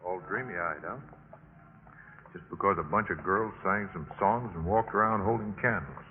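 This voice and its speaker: Casting: man playing wry older college suitor, dry and needling, fairly low voice, vintage radio drama through tinny old broadcast sound. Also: normal speed, a little hiss or hum, natural voice. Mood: mocking. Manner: dry, teasing. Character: wry 28-year-old Army veteran college man, teasing skeptic. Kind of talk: 1950s radio drama acting. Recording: muffled 1950s radio broadcast.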